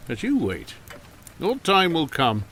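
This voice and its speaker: An actor playing a querulous, self-pitying old retired lawyer in an audio drama, complaining theatrically. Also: male voice, on the high side, wildly swooping pitch, unhurried, a bit bright, slight background noise, heavily acted. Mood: anxious, bitter.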